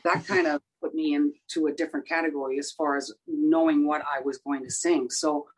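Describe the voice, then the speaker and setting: A woman singer in her sixties with a rough voice, talking in a casual interview, halting with many fillers and restarts. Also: low-pitched, steady tone, low-quality recording.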